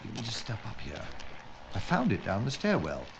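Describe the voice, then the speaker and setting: A man playing a courteous, unflappable police inspector in wartime London, dryly understated and deliberate, in an audio drama. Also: on the low side, wildly swooping pitch, background noise. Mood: measured, puzzled.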